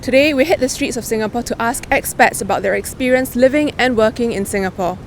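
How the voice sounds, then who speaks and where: A woman hosting a street interview outdoors, asking clear, upbeat questions. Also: a little high, fast talker, a little hiss or hum.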